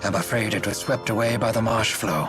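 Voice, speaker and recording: An actor playing a courteous, wise older male steward and strategist in a game, speaking formally and with measured care. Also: on the low side, natural voice. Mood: grave, composed. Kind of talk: English game dub acting. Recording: game soundtrack music behind the voice. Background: background music.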